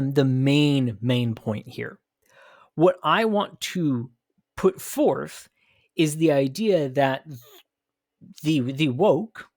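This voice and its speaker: A man's voice: medium pitch, no room sound, clean studio sound. A man hosting a commentary podcast, arguing emphatically with rhetorical questions and repeated phrases. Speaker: podcast host. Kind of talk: opinionated commentary.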